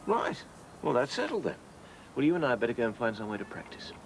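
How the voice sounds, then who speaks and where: An actor playing a stiff, proper, fussy husband in a TV soap. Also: male voice, medium pitch, big pitch swings, quick pace, slight background noise, poor audio. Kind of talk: 1990s TV soap acting.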